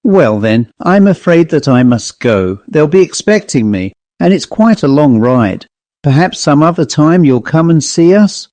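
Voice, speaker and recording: A man voicing a polite, brisk lady of sixty, an antiques dealer, in a storybook reading. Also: a little high, very animated, darkish tone, no echo.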